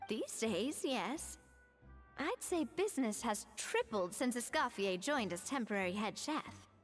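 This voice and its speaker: High, lilting friendly young woman. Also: very animated, unhurried, in a large hall, soft background music. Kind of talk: anime-style video game voice acting.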